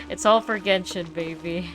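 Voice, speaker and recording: Female gamer, casual thinking-aloud commentary, slow pace, loud game music underneath. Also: fairly low pitch, rough recording. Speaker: gaming streamer.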